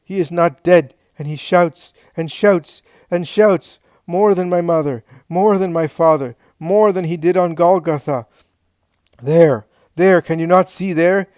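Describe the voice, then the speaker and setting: A man's voice: a little slow, gravelly, hardly any pauses, no room sound, clean studio sound. A man voicing Simon, a shrieking, tormented madman. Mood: frantic raving. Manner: shrieking, frantic, high-pitched cries. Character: raving, tormented madman haunted by the dead.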